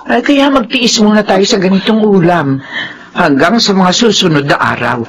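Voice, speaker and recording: A woman's voice: medium pitch, expressive, moderate pace, lightly acted, rough recording. Long-suffering older Filipino nanny, acted by a woman. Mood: resigned. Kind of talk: Filipino radio drama acting.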